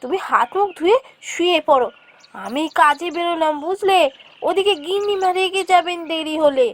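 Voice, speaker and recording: Village housemaid and wife for a cartoon, acted by a woman: high, caring, fretful, deferential. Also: normal speed. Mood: worried, caring. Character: caring, worried village wife working as a maid. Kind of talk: cartoon voice acting.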